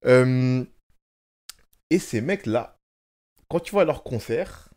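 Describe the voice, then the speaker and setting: A man's voice: medium pitch, expressive, slow pace, darkish tone. A man hosting a casual interview, thinking aloud with frequent hesitations. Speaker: host, interviewer. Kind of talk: casual conversation.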